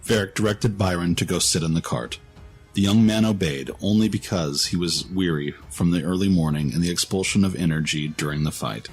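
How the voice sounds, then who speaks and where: A man with a very low voice narrates a fantasy story. The delivery is scripted and dramatic, with a music bed underneath. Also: brisk, breathy edge.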